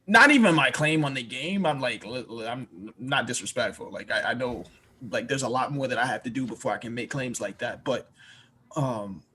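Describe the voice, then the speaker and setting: A man's voice: mid-range voice, fairly bright tone. A young man from Washington, D.C., a rapper and producer, talking reflectively as a podcast guest, often restarting and repeating words. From Washington, D.C., USA. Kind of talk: podcast interview.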